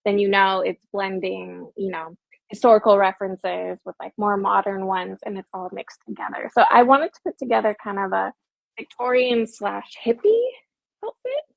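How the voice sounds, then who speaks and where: A woman's voice: medium pitch, normal speed, creaky, dry recording. A woman from the United States hosting a casual chat, talking in a chatty way full of fillers and giggles. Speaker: host. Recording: dull, dry lo-fi audio.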